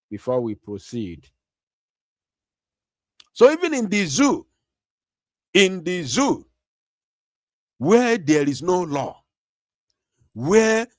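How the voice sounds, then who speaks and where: A man with a Nigerian English accent gives a commentary monologue to his viewers. He talks emphatically, repeats his phrases and often pauses on fillers. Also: a little high, dry recording, studio quality. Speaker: online channel host.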